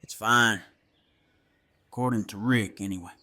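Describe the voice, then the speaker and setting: A man playing a gruff, stubborn, resentful survivor in an audio drama, with clipped, folksy speech. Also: on the low side, steady tone, measured pace, sharp tone, no room sound. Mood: sullen.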